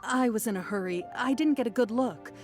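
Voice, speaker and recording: A woman's voice: a little high, a little fast, music in the background, natural voice. A woman voicing a courteous, apologetic female scholar in a video game. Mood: polite. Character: polite, flustered female scholar.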